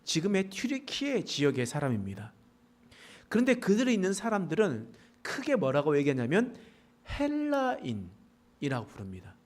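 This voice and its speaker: Animated, folksy Korean pastor with a regional lilt. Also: male voice, normal speed. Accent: Gyeongsang dialect traces. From South Korea, Pohang area. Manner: folksy, joking asides with congregation, animated pitch swings. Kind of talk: sermon. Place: church sanctuary.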